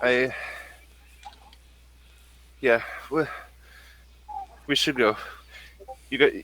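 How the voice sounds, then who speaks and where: A man voicing a frightened, pleading runaway android woman in halting, repeated phrases for a tabletop game. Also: medium pitch, fairly even intonation, a bit bright, dry recording. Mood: anxious, halting.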